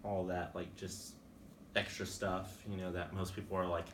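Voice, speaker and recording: Young male interviewee, native Spanish speaker, Mexican Spanish accent, low flat voice, hesitant, full of fillers, distant mic with noise. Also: normal speed. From Texas. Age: young adult. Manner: rambling, many "like" fillers, hesitant. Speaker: interviewee, college student. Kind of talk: casual interview answers. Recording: distant mic, noisy room.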